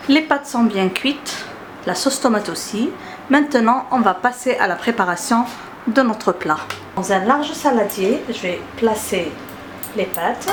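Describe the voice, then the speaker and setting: Woman with an Algerian accent, higher-pitched. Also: expressive, a little slow, a little hiss or hum. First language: Arabic. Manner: hesitant, frequent filler sounds. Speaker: cooking channel host. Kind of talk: step-by-step recipe narration.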